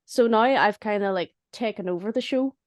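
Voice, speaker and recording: A woman chatting casually and humorously about making audio dramas, in a chatty, animated way. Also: medium pitch, moderate pace, no room sound, studio quality. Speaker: audio drama writer and voice actor. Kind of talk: casual chat.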